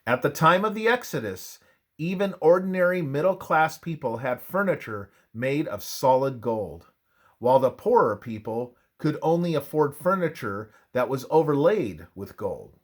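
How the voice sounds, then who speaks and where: A man reading a scripted historical and religious narration aloud in a measured, deliberate way with emphatic phrasing. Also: a little high, sing-song.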